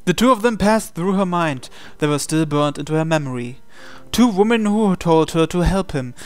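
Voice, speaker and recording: A man reading scripted, dramatic story narration in a measured, solemn way. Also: on the high side, expressive, clean tone, no echo. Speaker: narrator reading translated game text. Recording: music behind the voice. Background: music.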